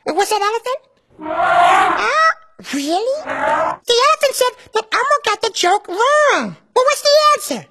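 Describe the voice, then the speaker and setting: A man's voice: very high voice, big pitch swings, measured pace, heavy background noise, exaggerated voice. A man voicing a giggly little red monster toddler who talks about himself in the third person. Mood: excited. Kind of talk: children's puppet show voice acting.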